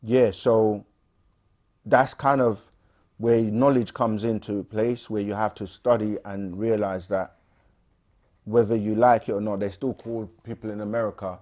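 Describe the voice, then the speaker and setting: A man co-hosting a casual livestream, reading viewer questions aloud and answering them with frequent pauses and fillers. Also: lowish voice. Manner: relaxed, frequent "um" and restarts, reads questions aloud.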